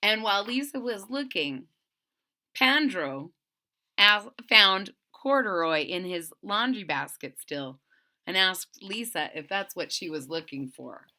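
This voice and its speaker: A woman reading a storybook aloud for children and retelling it simply, in a deliberate, explanatory way. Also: medium pitch, lively intonation, sharp tone. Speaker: storybook reader.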